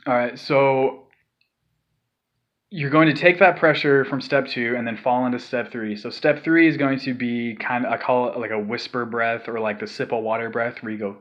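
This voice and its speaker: Guy rambling thru a scream tutorial, middle pitch, kinda dull lo-fi sound. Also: steady tone, moderate pace. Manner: rambling, loose, filler-heavy, demonstrates breaths and sighs. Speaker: vocal tutorial maker. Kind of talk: casual spoken tutorial.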